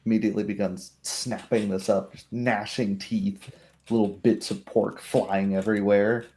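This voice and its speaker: A man's voice: lowish voice, flat delivery, moderate pace, darkish tone. A man narrating a tabletop roleplaying game as game master, with vivid, descriptive storytelling.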